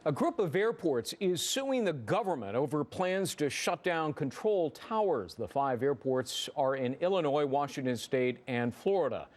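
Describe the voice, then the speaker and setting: News anchor, male, American accent, slightly high-pitched, presenting and moderating panel talk. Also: big pitch swings, normal speed, hardly any pauses, darkish tone. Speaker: news anchor and legal segment host.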